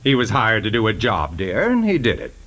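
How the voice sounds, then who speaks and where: A man's voice: fairly low pitch, huge pitch swings, a little fast, fairly bright tone. Curt man with swooping intonation. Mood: curt, dismissive. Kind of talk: 1940s radio drama acting. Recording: vintage 1940s radio broadcast.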